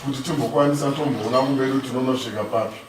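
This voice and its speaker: A man's voice: medium pitch, fairly even intonation, very fast. A man with a Zimbabwean accent bargaining in a pleading, deferential way in a dramatized ceremonial negotiation. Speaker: negotiator for the groom's side in a dramatized bride price ceremony. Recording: distant, echoey, very noisy room.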